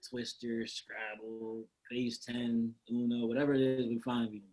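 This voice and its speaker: A man in his twenties hosting a casual group chat with friends on a video call, animated and quick to laugh. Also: mid-range voice, low-quality recording. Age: 20s. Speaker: host.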